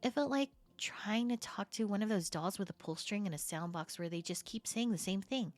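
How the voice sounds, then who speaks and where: Woman acting flirty, expressive best friend, close and dry. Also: medium pitch, moderate pace, no room sound, lightly acted. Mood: exasperated, defensive. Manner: casual, expressive, hesitant trailing-off phrases. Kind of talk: intimate audio roleplay acting, conversational.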